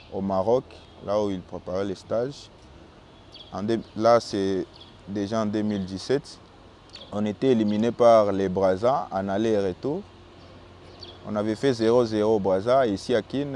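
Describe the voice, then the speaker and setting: A young man from Bukavu with a Congolese French accent, Swahili his first language, calmly answering interview questions as a football goalkeeper. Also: on the low side, a little hiss or hum. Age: young adult. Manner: calm and measured, with small restarts.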